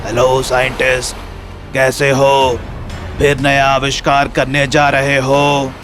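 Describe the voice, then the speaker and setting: A man voicing a menacing, mocking robot wolf villain in an animated children's story, with a slow, taunting delivery. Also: mid-range voice, over music, pitched below the performer's own voice, natural voice.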